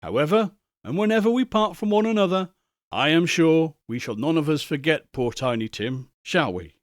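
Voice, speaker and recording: A man reads as a poor, kind father who speaks softly and tenderly to his children. His voice rises and falls warmly. It sounds dry and close to the mic. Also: on the high side, animated, moderate pace, no echo, lightly acted. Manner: tender, soothing. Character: gentle humble father of a poor family.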